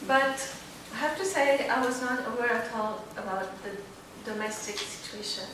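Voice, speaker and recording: A woman with a Moroccan Arabic accent and a fairly high voice gives an informal personal talk. She hesitates and searches for words. The recording is very reverberant and picked up from a distance, in a library event room with clear noise. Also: moderate pace. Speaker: student panelist.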